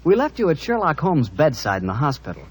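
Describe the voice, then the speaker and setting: Animated vintage radio announcer man. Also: fairly high pitch, fast talker. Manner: smooth-paced salesmanship, enthusiastic. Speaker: announcer and sponsor pitchman. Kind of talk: 1940s radio announcing, scripted sponsor read.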